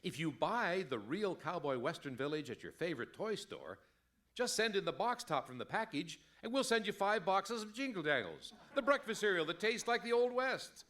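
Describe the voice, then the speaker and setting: A man acting a hard-selling radio announcer from the old days, pitched a bit high. His delivery is very animated and peppy, leaning into every advertising slogan as he sells breakfast cereal to kids. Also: moderate pace. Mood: upbeat selling. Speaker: commercial announcer.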